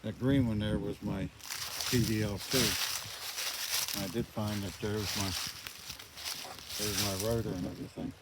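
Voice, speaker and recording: A man's voice: lowish voice, fairly even intonation, moderate pace, long pauses. An older man talking casually in a plain, folksy way as he rambles through a walkaround commentary outdoors. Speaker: vlogger showing around own farm. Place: outdoors on a rural farm. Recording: noisy outdoor recording with loud ambient noise.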